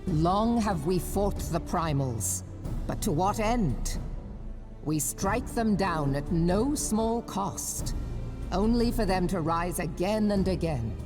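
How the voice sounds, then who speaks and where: Slow, low-voiced stern commander. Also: female voice, lively intonation, over music. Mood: weary, firm. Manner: firm, deliberate, formal oratory. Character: stern, weary, proud female military leader.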